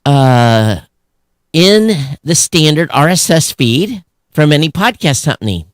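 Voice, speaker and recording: A man co-hosting a podcast in casual, opinionated chat, animated and sarcastic, often halting and breaking off mid-phrase. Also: a little high, dry recording, clean studio sound. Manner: animated, sarcastic griping with mock quoted voices. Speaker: podcast co-host.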